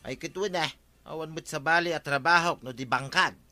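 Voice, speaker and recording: Sharp, cocky Ilocano village husband. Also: male voice, a little high, brisk. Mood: pleased. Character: cocky, evasive village husband who dodges farm work. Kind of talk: Ilocano radio comedy drama acting.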